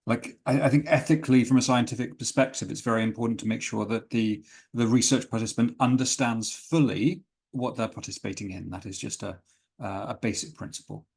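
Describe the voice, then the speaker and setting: A man playing an anxious, evasive scientist in a tabletop game, stuttering and pedantic in a gravelly, husky voice. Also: medium pitch, steady tone, a little mellow. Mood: nervous, stalling. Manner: stuttering, halting, wordy and pedantic. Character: anxious, evasive male scientist doctor, fussily principled.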